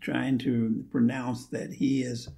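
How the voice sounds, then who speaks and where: A middle-aged man chatting casually, halting and searching for words as he repeats himself. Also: mid-range voice, little pitch movement, gravelly, warm tone. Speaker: guest guessing about characters. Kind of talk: casual unscripted chat.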